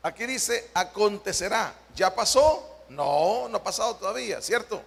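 A Salvadoran man preaching to his congregation in a slow, deliberate way, drawing out key words and putting questions to the people. His voice sits high and has a gravelly edge. The sound rings out with the long reverb of a church hall. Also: expressive. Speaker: pastor. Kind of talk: sermon.

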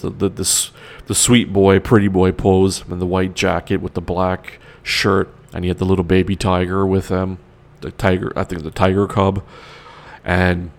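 Host, male, 40s, very low and gravelly, rambling, enthusiastic solo talk. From Canada. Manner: rambling, enthusiastic, many fillers and restarts.

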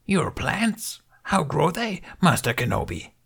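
A man voicing a tiny, ancient, serene alien master who speaks in inverted, riddling sentences with a high, half-whispered voice. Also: very animated, takes it slowly, gravelly, slightly rough, heavily acted. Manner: inverted word order, gentle and riddling. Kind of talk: audiobook character voice.

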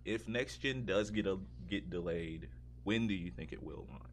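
Very deep-voiced man, casual podcast talk. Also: normal speed, slight background noise. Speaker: podcast host. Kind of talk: casual gaming podcast discussion.

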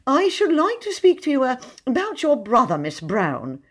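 A woman's voice: high voice, huge pitch swings, slightly rough, pitched above the performer's own voice, full character voice. A woman reading aloud voices a prim, pompous thin male would-be poet who stammers and hesitates with many uh's. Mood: fawning. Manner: fussy, halting with many uh's.

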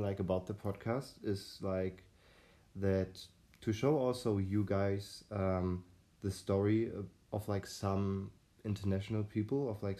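Male podcast host, low warm tone, rambling delivery full of fillers. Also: roomy sound. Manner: rambling, many fillers and restarts. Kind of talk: casual conversational podcast.

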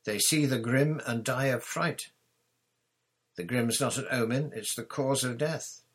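A man's voice: mid-range voice, a bit bright, slightly rough, pitched above the performer's own voice. Man voicing a bossy, superior clever schoolgirl. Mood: airy, superior. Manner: brisk, superior, airy.

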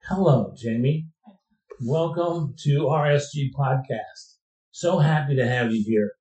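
A man's voice: mid-range voice, measured pace, darkish tone. A man hosting an interview podcast, chatting with his guest and rambling a little with restarts. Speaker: podcast host. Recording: roomy echo.

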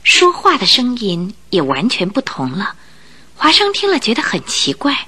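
A woman's voice: fairly high pitch, huge pitch swings, normal speed, bright, forceful tone, husky. A woman narrator telling a story in a scripted radio drama style. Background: music.

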